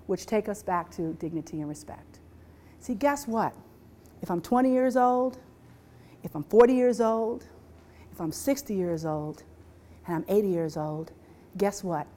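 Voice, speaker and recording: A woman giving a presentation to an audience, rhetorical and repetitive, asking listeners questions and briefly voicing quoted people. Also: medium pitch. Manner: rhetorical, repeats phrases, asks the audience questions; voices quoted people with lively shifts. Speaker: speaker on workplace diversity.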